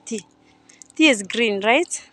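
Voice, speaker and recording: A young woman talks the viewer through a farm in a loose, wandering way, often trailing off to guess. Her voice sits high. Light outdoor noise hums behind her on a phone mic. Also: expressive, takes it slowly, a bit bright. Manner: chatty, unsure, thinking aloud. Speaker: vlogger touring a coffee farm. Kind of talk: casual guided walk-through. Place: outdoors at a coffee farm and factory. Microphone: phone camera held by speaker.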